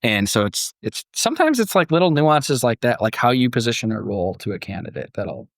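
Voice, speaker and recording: Male podcast host, dramatic storyteller, casual talker, mid-pitched voice, dry close recording. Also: big pitch swings, fairly quick, gravelly, a bit bright, dry recording. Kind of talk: dramatic scripted narration mixed with casual podcast discussion.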